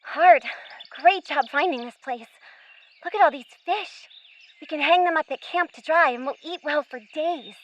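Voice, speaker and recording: Woman as a bright, very high-voiced plucky girl. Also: normal speed, highs cut off, a little hiss or hum. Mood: cheerful. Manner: earnest, urgent, eager. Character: plucky, bossy young girl, protective older sister. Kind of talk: audio drama acting.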